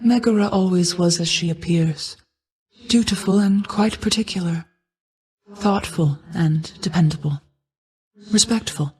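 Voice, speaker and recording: An actress playing a stern yet caring motherly goddess of night in a video game, speaking in formal, measured, solemn lines. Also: fairly low pitch, darkish tone, close to an everyday voice, low-quality recording. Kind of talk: scripted video game character dialogue, formal archaic speech.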